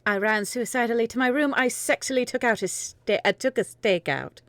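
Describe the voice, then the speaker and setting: A woman's voice: a little high, brisk, a bit bright, dry recording. A woman reading fan fiction dramatically and chatting casually with her co-readers between lines. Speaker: reader in a livestreamed group fanfiction reading.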